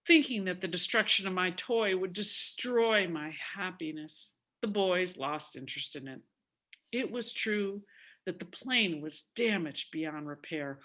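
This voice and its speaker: A woman in her sixties telling a story theatrically, with deliberate, dramatic emphasis. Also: on the low side, a bit bright. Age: 60s. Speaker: storyteller at a speaking club.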